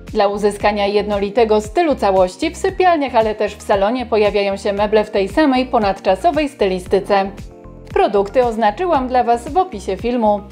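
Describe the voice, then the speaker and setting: A woman's voice: medium pitch, animated, a little fast, dark tone, soft background music. A woman hosting a home interiors show, giving a polished scripted voice-over and asking a guest questions.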